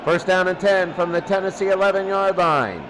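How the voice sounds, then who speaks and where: A man doing excitable play-by-play commentary of a football game, repeating phrases and drawing out his calls. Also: high voice, moderate pace, noisy background. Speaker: sports commentator.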